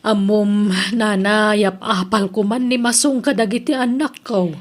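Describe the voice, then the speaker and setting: A woman's voice: medium pitch, fairly even intonation, a little slow, close to an everyday voice. An Ilocano-accented woman playing a chatty village mother in a radio drama.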